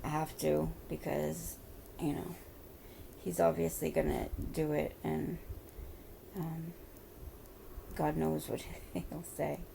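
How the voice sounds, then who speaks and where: Hoarse, gravelly low-voiced woman. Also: little pitch movement, mellow, a little hiss or hum, poor audio. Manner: halting, rambling, many fillers. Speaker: vlogger. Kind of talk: personal confessional vlog. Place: at home.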